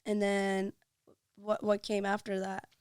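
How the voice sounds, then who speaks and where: A woman's voice: medium pitch, monotone, slow, a little mellow, clean tone, no echo, clean studio sound. A woman hosting a podcast, talking earnestly and chattily, full of "like"s. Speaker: podcast host. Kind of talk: casual chat.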